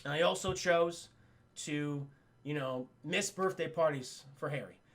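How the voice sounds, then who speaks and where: Man acting a defensive, gravelly businessman father. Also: fairly high pitch, a little slow, fairly bright tone, voice pushed down. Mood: defensive, impassioned. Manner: impassioned, emphatic speechifying with stammers. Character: defensive, proud businessman father pleading his case.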